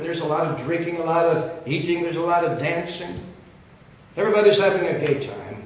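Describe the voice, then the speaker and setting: Older male preacher, fairly high voice, rough throat, very echoey church, dull sound. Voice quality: coughing, cough drop in mouth. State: losing his voice, throat trouble. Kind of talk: sermon storytelling.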